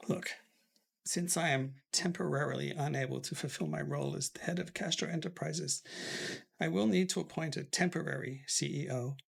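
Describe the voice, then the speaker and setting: A man playing a gravelly old tycoon father. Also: fairly high pitch, fairly even intonation, normal speed, exaggerated voice. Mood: frail. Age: older. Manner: commanding, scolding, turns weak and coughing later. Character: stern elderly male tycoon father, head of a family firm. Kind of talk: melodrama acting.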